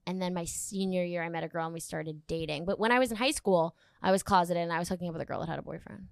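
A woman's voice: lowish voice, fast talker, vocal fry, clear, resonant tone, no echo, studio quality. A woman in her thirties telling candid, crude, jokey stories in a casual chat.

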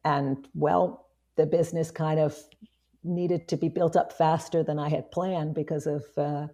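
A woman in her fifties with a hoarse voice, a guest chatting casually in an interview, rambling with many restarts. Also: low voice, flat delivery, measured pace, warm tone. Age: 50s. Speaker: guest, online marketing strategist.

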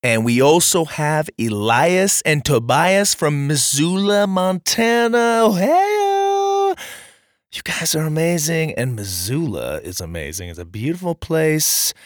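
A man's voice: a little high, very animated, takes it slowly, no room sound, clean studio sound. A man hosting a children's podcast, chatting casually and enthusiastically to young listeners in a voice strained from nearly being lost. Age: adult, father of young children. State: strained voice, recovering from nearly losing it. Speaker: host and storyteller of a children's podcast.

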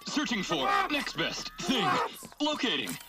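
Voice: mid-range voice, huge pitch swings, a little slow, shouting, over loud music. An actor voicing an obedient, literal-minded robot assistant in a cartoon, speaking in stilted formal announcements. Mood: flatly obliging.

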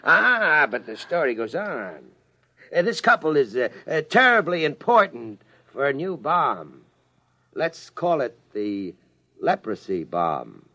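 An actor playing a fast-talking, falsely genial movie man with menace underneath, in 1950s radio drama style. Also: a little high, very animated, pushed and bright, full character voice. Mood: slyly menacing. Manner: glib, hearty, bossy, drawling out hints.

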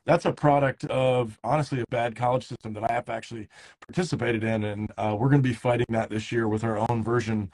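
A man's voice: medium pitch, fairly even intonation, quick pace, a little mellow, poor audio. A man in his thirties hosting a baseball podcast, talking loosely with lots of fillers and restarts. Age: 30s. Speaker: podcast host. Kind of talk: casual interview chat. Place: San Diego.

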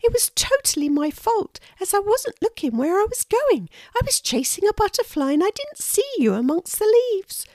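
A woman's voice: very high voice, big pitch swings, normal speed, few pauses, darkish tone, no room sound, pitched above the performer's own voice. A woman voicing a small, shy, earnest young female squirrel in a children's storybook, with a little, apologetic voice. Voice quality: little, thin voice.

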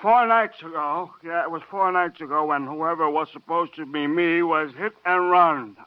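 Guy acting a boozy rambling loser, bitterly amused, high voice, muffled old radio sound. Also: moderate pace, dry recording, lightly acted. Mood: boastful, bitter. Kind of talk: 1950s radio drama acting. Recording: muffled dry vintage radio recording.

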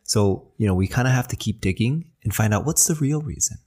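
Earnest low-voiced man with husky tone. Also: animated, a little fast, darkish tone. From New Jersey, raised in New York. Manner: encouraging, earnest, talks straight to the listener. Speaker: podcast host and acupuncturist. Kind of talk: motivational podcast talk.

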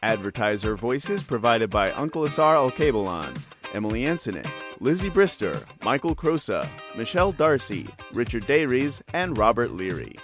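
A man reading the end credits of an audio drama in a lively announcing manner. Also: mid-range voice, normal speed, few pauses. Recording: music under voice.

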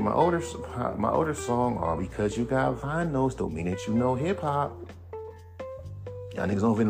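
A man in a casual podcast monologue, blunt and profane, talking in a fairly low, monotone voice. He rants with animated swings of emphasis. Music plays clearly underneath him. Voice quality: self-described monotone voice. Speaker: podcast host. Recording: music playing behind the voice.

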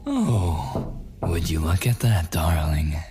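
A man's voice: low voice, big pitch swings, over music, heavily acted. A man playing a possessive, unhinged, murderous kidnapper boyfriend in an ASMR audio roleplay, menacing and often slipping into whispers. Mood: coaxing, menacing. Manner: slow, drawn-out menace slipping into whispers and giggles.

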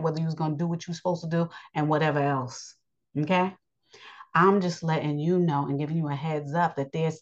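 A deep-toned woman with a scratchy voice gives a scriptural lesson on her podcast. She rambles and pauses often with ums. The recording sounds dull and lo-fi. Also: a little mellow. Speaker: podcast teacher.

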